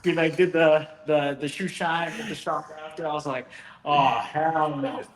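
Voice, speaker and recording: A man who is a fighter, joking and rambling in a casual interview, often trailing off quietly. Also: on the high side, steady tone, normal speed, a crowd in the background. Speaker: fighter, guest. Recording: echoey room, faint background noise.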